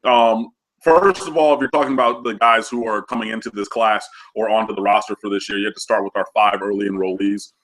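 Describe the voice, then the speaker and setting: Sports analyst, male, stuffy and nasal from a cold, low forceful voice, rambling and eager. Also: fairly even intonation, brisk, rough recording. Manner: chatty, many fillers, enthusiastic. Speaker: recruiting analyst guest. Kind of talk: casual sports recruiting talk.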